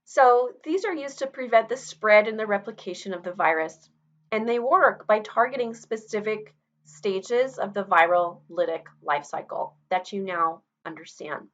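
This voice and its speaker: A woman's voice: medium pitch, normal speed. A middle-aged woman teacher giving a recorded online lecture, explaining in a conversational way with personal asides. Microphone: webcam video recording.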